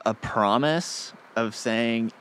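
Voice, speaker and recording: A man's voice: medium pitch, slow, fairly bright tone, slight background noise. A man interviewing a coding school graduate, enthusiastic and encouraging. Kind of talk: upbeat interview.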